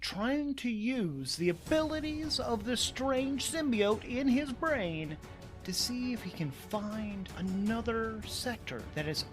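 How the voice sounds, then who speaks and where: Guy with a pretty high voice running a solo rpg, excited conversational narration, bit of music behind him. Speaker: host, narrator and player of a solo tabletop RPG podcast. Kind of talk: narration and game commentary, actual play.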